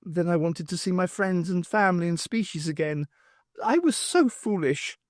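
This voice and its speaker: Audiobook alien, ancient male rebel poet, last survivor, high lilting delivery, rambling and wistful, performed by a man. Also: animated, normal speed, no room sound, studio quality.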